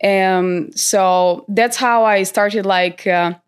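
A young woman from North Macedonia talks as a guest in a relaxed interview. Her voice sits at a middle pitch. She speaks unhurriedly, pausing often and filling gaps with ums. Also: clear, resonant tone. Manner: unhurried, many fillers and pauses. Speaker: guest, content creator. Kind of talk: casual interview.

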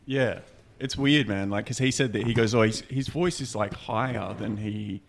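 A man with a fairly low voice and plenty of gravelly fry in it. He chats casually as a podcast host, blunt and free with swearing. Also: moderate pace. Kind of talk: casual banter chat.

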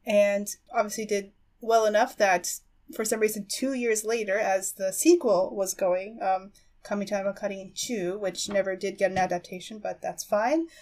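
A woman hosting a podcast, chatting casually about anime and explaining things in a halting, thoughtful way. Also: medium pitch, fairly even intonation, a little mellow. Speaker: podcast host.

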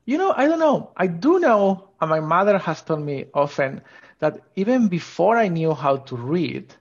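A man's voice: high voice, big pitch swings, moderate pace, breathy edge, highs cut off. A man from northern Spain with a Spanish accent, talking enthusiastically and informally as a guest in a conversational interview. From Asturias, northern Spain. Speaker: podcast guest, scientist.

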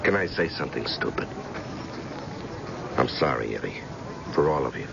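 An actor playing a loyal, concerned ex-cop family friend, earnest and direct, in an old-time radio drama. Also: male voice, a bass voice, animated, moderate pace, vocal fry, full character voice. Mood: sympathetic. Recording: muffled, noisy old radio recording.

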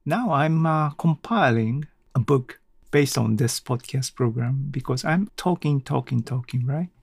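A man from Japan, a native Japanese speaker with a Japanese accent, hosting a casual solo podcast and haltingly thinking aloud. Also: mid-range voice, expressive, creaky, darkish tone, soft background music. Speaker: podcast host.

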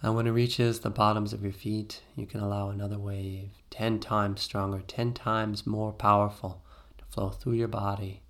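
Low-voiced man guiding a meditation. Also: level tone. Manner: soothing, gently instructive with pauses. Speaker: meditation guide. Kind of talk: guided meditation narration.

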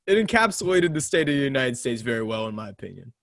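A young man co-hosting a casual chat about a TV show, animated and quick to laugh. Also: mid-range voice, creaky, no echo, clean studio sound. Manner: animated, laughs often, does mock voices in retelling. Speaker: podcast co-host. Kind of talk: casual chat reviewing a TV episode.

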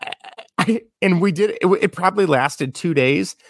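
A man's voice: sits high, moderate pace, no echo. A man in his thirties co-hosting a podcast, chatting casually and reminiscing, now and then talking to viewers in the live chat. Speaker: podcast co-host.

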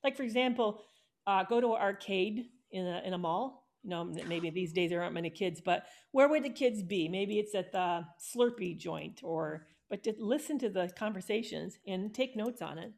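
A woman's voice: mid-range voice, moderate pace, vocal fry, a little mellow. A woman in a casual chat, giving advice on writing and affirming often. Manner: encouraging, gives advice, many affirmations.

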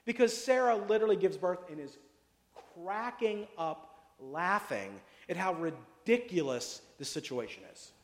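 A pastor preaching a casual sermon, chatty and jokey, full of asides and questions to the congregation. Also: male voice, high-pitched, expressive, a little slow. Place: church sanctuary. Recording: echoey hall with long reverb.

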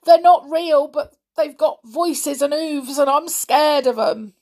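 Storybook role: scared, whiny lad played by a woman, high-pitched and pleading. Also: slow, a little mellow. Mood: terrified. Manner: panicky, pleading. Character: frightened, whining young boy.

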